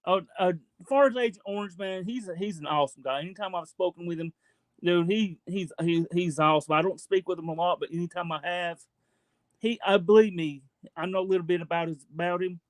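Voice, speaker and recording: A man's voice: sits high, brisk, no echo, poor audio. A man chatting casually as a live stream co-host, informal, agreeable and emphatic. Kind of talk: casual chat.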